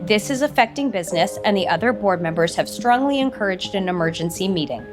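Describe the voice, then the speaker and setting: A woman's voice: mid-range voice, animated, fast, over loud music, natural voice. A woman acting in an audio soap opera as a stern, critical older sister and company executive. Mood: insistent. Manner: firm, reproachful.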